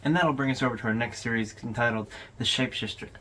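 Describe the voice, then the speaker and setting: A man's voice: medium pitch, fast, bright, forceful tone. Male video host, informal and conversational, mid-range voice, noticeable background noise. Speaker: host of a horror video overview channel. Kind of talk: casual video commentary.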